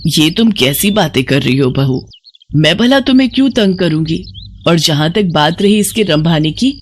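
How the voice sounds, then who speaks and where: A narrator voicing a gentle, forgiving elderly village mother-in-law with patient, soothing speech in an animated moral story. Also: medium pitch, moderate pace, a little hiss or hum, lightly acted.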